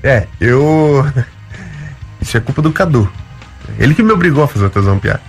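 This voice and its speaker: Gaucho guy telling stories, gravelly voice, soft music under. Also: medium pitch, normal speed. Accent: Gaúcho Brazilian Portuguese. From Rio Grande do Sul, Brazil. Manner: animated, acts out quoted dialogue. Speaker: interview guest, comedian. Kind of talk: casual storytelling.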